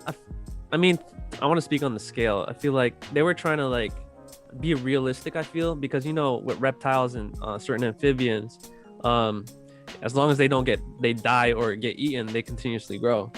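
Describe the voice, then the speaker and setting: A man's voice: mid-range voice, fairly quick. A man chatting casually in loose slang, swearing freely as he gives his opinions. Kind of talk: casual chat about video game movies. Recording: music playing behind the voice. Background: music.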